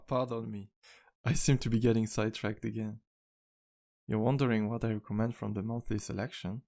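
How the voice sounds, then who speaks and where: A man playing a charming, flirtatious vampire bartender in an ASMR roleplay, speaking in a courteous, teasing, intimate way with unhurried pauses. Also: lowish voice, darkish tone. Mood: helpful, suave. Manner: smooth-talking, courteous, playful, unhurried pauses. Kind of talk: ASMR roleplay, intimate one-sided dialogue.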